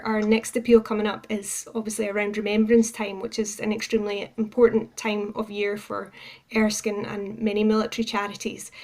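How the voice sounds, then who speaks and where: A woman's voice: mid-range voice, a little mellow. A woman speaking as a charity representative in an informative radio interview, with an earnest, appreciative way of talking. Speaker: charity campaigns manager, interview guest.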